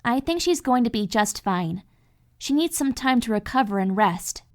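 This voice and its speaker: A woman voicing a calm, caring cartoon pony mentor in an audio story, reassuring and explanatory. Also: fairly high pitch, normal speed, lightly acted. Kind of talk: dramatic fan fiction audio reading.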